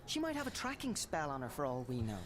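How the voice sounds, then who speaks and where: A man's voice: on the high side, wildly swooping pitch, fast talker, background noise. A man playing a suspicious, hot-headed young rebel in a video game, insistent and distrustful. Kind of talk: video game cutscene acting. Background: background music.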